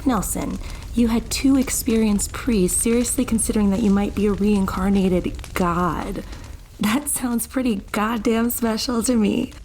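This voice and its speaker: A woman's voice: fairly high pitch, unhurried, darkish tone, slight background noise, close to an everyday voice. A frank young woman in an audio drama, played by an actress, in a mid-range voice. She talks straight and reassures her friend, with a casual swear now and then. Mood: reassuring. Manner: direct, casually profane.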